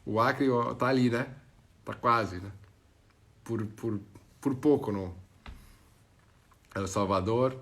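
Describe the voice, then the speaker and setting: Slow, halting man with Brazilian Portuguese accent. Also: mid-range voice, fairly bright tone. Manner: halting, searching for words, many restarts. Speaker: live stream host. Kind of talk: casual live stream chat, reading out viewer comments.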